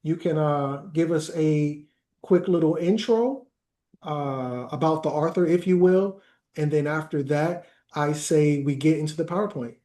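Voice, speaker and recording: A man hosting a workshop over a video call, talking slowly and hesitantly with many fillers. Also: fairly high pitch, dark tone. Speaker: workshop host. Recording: roomy echoey video call audio.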